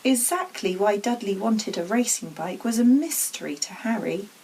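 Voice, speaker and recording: A woman reading a children's storybook aloud as an expressive narrator. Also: medium pitch, moderate pace, a little hiss or hum, poor audio. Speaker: story reader.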